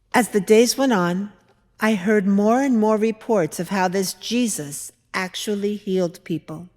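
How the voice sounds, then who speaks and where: A woman performing a dramatic monologue as a skeptical older mother-in-law from biblical times, telling her memories in a deliberate, expressive, reflective way. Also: medium pitch. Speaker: dramatic reader at a church service. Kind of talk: dramatic monologue, measured storytelling. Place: large church interior. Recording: echoey church hall, long reverb.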